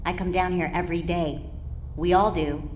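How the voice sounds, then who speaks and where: A woman's voice: fairly low pitch, level tone, moderate pace, a little mellow, a little hiss or hum, close to an everyday voice. A woman playing an eager, casual cult devotee in an audio drama, earnest and slangy. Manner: slangy, laid-back, earnest. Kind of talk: scripted audio drama acting. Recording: faint music behind.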